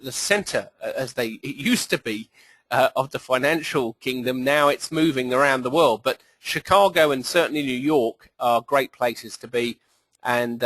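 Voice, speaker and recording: A man's voice: mid-range voice, a bit bright, no echo, poor audio. British man presenting a trading webinar. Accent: British English. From England. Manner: enthusiastic, emphatic, frequent fillers.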